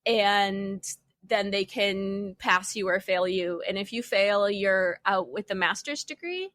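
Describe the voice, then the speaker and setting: A young adult woman chatting casually as a podcast panelist, telling things in an informal, wry and animated way. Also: mid-range voice, slow, no room sound. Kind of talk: casual chat.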